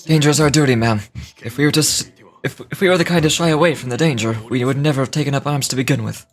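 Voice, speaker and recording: A man's voice: medium pitch, fast, lightly acted. A man playing a devoted, gallant samurai soldier in a video game, speaking formally and earnestly, as if declaiming. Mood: resolute. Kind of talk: dramatic reading of video game dialogue.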